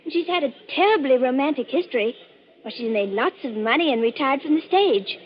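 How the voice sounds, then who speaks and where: A nervous, gossipy young stage actress. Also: female voice, high voice, expressive, brisk. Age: young adult. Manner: eager, breathless gossip. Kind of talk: 1940s radio acting. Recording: dull old radio recording.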